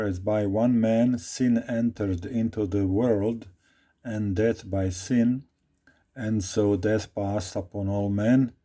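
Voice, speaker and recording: A man's voice: lowish voice, warm tone. A man with a Croatian accent, a native Croatian speaker, giving an informal Bible study talk, reading verses aloud and repeating phrases as he explains them. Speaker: Bible teacher.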